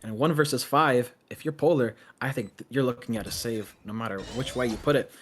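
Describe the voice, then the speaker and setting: A man giving live play-by-play commentary on a video game match, excitable on big plays and analytical between them. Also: medium pitch, a little fast, a little hiss or hum.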